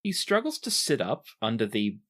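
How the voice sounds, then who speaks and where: A man's voice: mid-range voice, wildly swooping pitch, moderate pace, fairly bright tone. A man narrating as game master, describing actions in a casual way with frequent restarts.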